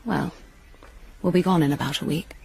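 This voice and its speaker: Casting: bold young English socialite, low register, brisk and teasing, radio drama. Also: female voice, steady tone, gravelly, dark tone, husky, exaggerated voice, poor audio. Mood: brisk, confiding. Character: bold, teasing 29-year-old socialite actress.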